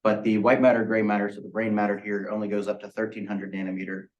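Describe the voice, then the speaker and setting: A man with a fairly low voice walks through a technical presentation. He explains methodically, one step after another, and sounds set back from the microphone. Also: flat delivery, fast, a little mellow, dull audio, slight background noise. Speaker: student presenter. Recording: distant microphone pickup.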